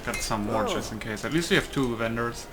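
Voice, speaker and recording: A man's voice: medium pitch, a little fast. A man giving casual let's play commentary, talking half to himself as he plays. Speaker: gamer, video host. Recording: clear background game noise.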